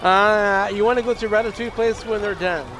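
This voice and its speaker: A man's voice: high-pitched, fairly even intonation, fairly quick. A man with a scratchy voice he says is going, chatting casually and rambling on a livestream. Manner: rambling, with many restarts. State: hoarse, losing his voice. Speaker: streamer. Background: video game music.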